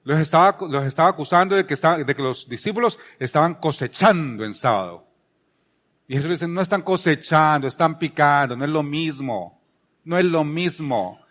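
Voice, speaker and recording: A man's voice: fairly high pitch, expressive, normal speed, a bit bright. A man from Colombia with a Colombian accent, giving a conversational sermon as a preacher, repeating phrases for emphasis and posing rhetorical questions. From Palmira, Colombia.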